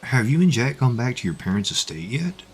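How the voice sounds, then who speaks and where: A man playing a calm, measured confidant who gives advice in a fantasy audio drama. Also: medium pitch, soft background music. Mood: calm, concerned.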